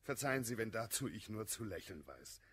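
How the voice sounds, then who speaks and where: An actor playing a moderate, worldly friend in a classical German radio play, reasoning in measured, ironic rhymed verse. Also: male voice, lowish voice, big pitch swings, fairly bright tone, a little hiss or hum. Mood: mildly mocking.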